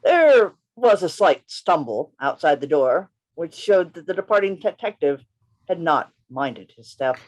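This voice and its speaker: An actor as animated undercover policeman Gabriel Syme. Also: medium pitch, measured pace, rough recording. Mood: measured narration. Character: Gabriel Syme, tense undercover policeman telling his story. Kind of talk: dramatic first-person radio play narration. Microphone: video call.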